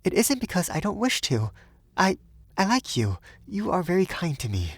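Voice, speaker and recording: A man voicing a shy, nervous young Parisian woman in an audiobook, soft and hesitant, with an earnest delicacy. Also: high voice, wildly swooping pitch, normal speed, slightly rough, no echo, clean studio sound, voice pushed up, heavily acted. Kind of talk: audiobook character voice.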